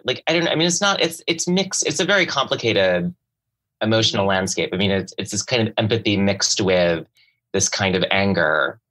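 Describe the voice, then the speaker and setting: A man co-hosting a literary podcast from a video call, talking in a halting way with many restarts. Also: on the low side, sharp tone. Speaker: podcast co-host. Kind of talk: casual literary discussion. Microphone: remote video call.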